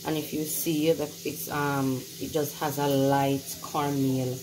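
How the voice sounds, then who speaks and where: A woman gives a casual kitchen demonstration in a very low voice. She takes her time, speaking slowly and repeating phrases as she instructs. The home recording has clearly audible noise. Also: flat delivery, echoey room. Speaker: home cook hosting a recipe video.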